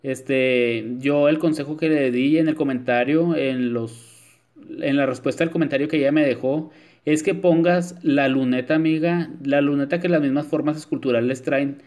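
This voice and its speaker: A man's voice: a little high, steady tone, moderate pace, a little mellow. A man narrating a nail tutorial in a chatty voice-over, with frequent filler words. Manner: chatty, many filler "este", addresses viewers as "chicas". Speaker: nail technician.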